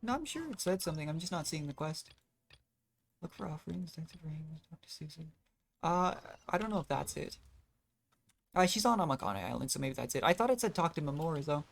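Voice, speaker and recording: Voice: medium pitch, expressive, brisk, creaky. A game streamer rambling casually through gameplay commentary, thinking aloud in a self-deprecating way. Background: video game music.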